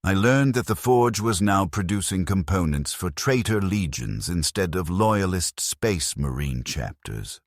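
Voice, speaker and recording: Very deep-voiced man narrating grim fiction. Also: animated, a little slow, hardly any pauses, dry recording, clean studio sound. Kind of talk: scripted first-person sci-fi narration.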